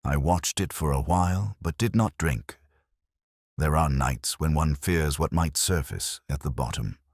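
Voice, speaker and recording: Very deep, husky, world-weary detective played by a man. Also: no echo, clean studio sound, full character voice. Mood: weary, reflective. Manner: somber, reflective, measured literary phrasing. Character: weary, melancholy male English police inspector recounting a case. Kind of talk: scripted first-person literary mystery narration.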